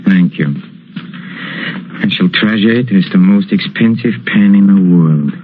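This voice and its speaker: An actor playing a cultured, nervous European impresario turned fight manager, overly polite and halting, in 1940s radio suspense style. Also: male voice, low-pitched, faint music behind. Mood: quiet, resigned. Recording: muffled vintage radio recording.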